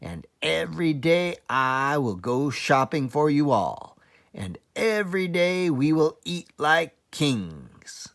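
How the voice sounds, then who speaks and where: A man acts out a fantastic storybook fox, a grinning leader rallying his friends. He speaks in theatrical, emphatic phrases, with pitch that swings high and low. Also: sing-song, slow pace, creaky, a bit bright, exaggerated voice. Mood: grandly inviting. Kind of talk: children's storybook read-aloud.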